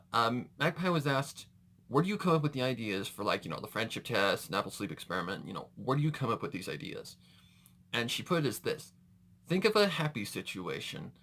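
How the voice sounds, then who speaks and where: A man's voice: mid-range voice, bright, forceful tone. A man giving casual reaction commentary as a video host, rambling and correcting himself as he goes, with mild slangy exclamations.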